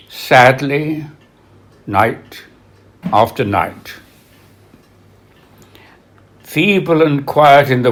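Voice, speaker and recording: A man reading literary biography aloud as its author, in a solemn, measured way with dramatic pauses. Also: mid-range voice. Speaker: author reading from own book.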